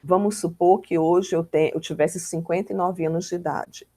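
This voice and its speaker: A woman's voice: fairly low pitch, steady tone, normal speed, mellow, no room sound. A Brazilian woman giving an informal law lecture, explaining in a didactic, conversational way and often checking in with short tag questions.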